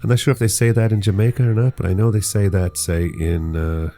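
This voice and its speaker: Deep-voiced rambling male podcast host. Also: brisk, dark tone. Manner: rambling, jokey, explains slang. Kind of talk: casual chat.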